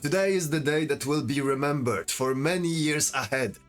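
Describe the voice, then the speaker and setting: A man's voice: a little high, normal speed, soft background music, lightly acted. A man playing a pompous royal herald giving a grand ceremonial speech in a fantasy audio drama. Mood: proud, welcoming. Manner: grand, proclaiming delivery.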